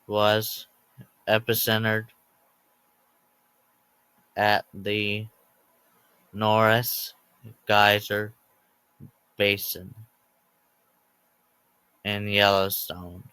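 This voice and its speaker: A man reading a scripted emergency alert announcement as narrator, in a halting way that breaks sentences into short groups of words. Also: fairly low pitch, monotone, bright, forceful tone, clear, resonant tone, dry recording, poor audio.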